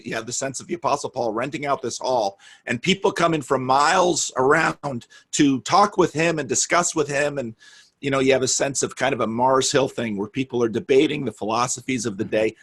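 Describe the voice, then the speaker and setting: Husky-voiced earnest man. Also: medium pitch, fairly bright tone. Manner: earnest, storytelling, frequent restarts. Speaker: guest, meetup organizer. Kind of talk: casual conversation.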